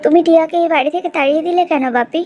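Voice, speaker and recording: Lady doing soft-hearted dutiful young girl in a cartoon, really high pleading voice. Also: fairly even intonation, rapid-fire, lo-fi sound. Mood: sad, pleading. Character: gentle, dutiful young daughter. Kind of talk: cartoon drama dialogue.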